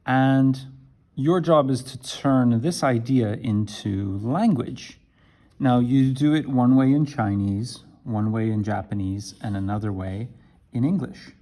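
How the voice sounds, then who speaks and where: A man teaching an English lesson to camera, talking slowly and deliberately and checking in with "right?". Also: medium pitch, dark tone. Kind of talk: explaining a language lesson to camera.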